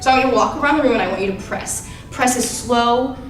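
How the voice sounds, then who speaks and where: High-voiced woman coaching actors. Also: fast, echoey room, distant mic, soft background music. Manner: animated, directive, laughs often. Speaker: drama teacher. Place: rehearsal room.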